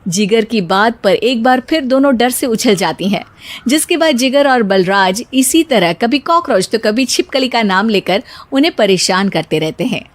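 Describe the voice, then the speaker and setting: Lively female cartoon story narrator. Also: fairly high pitch, normal speed.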